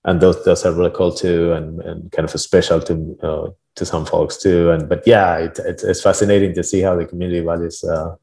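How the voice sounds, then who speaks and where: A man's voice: low-pitched, level tone, brisk, gravelly, hardly any pauses, dark tone. A man from Argentina talking casually as an interview guest, in an informal way, often checking in with "right?". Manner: informal, tentative phrasing with frequent "like" and "right?". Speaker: interview guest, project creator.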